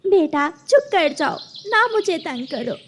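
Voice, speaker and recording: A woman's voice: extremely high pitch, very animated, unhurried, a bit bright, a little hiss or hum, exaggerated voice. A woman voicing a weary, poor mother sparrow scolding her children in a cartoon. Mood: weary, giving in.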